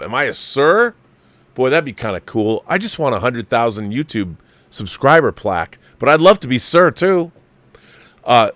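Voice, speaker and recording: A middle-aged American man talks as a livestream host in a medium-pitched voice. He is opinionated and emphatic, often repeating a phrase to drive it home. The sound is dry, with very little room on it. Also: fairly bright tone, no room sound. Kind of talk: casual opinionated movie chat, reading viewer letters aloud.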